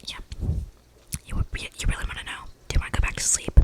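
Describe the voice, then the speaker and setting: A woman's voice: fast talker, lightly acted. A woman playing an eccentric, chatty young vampire in a whispered, slangy ASMR roleplay, breathing close to the microphone. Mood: rambling, confiding. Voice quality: breathy whisper with creaky edges. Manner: rambling, casual slangy delivery with many likes. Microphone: close microphone with audible breathing.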